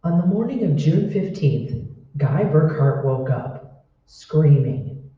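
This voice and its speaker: A man's voice: on the high side, expressive, slow. A man narrating a scripted old-time radio drama. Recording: very echoey hall, distant mic, muffled.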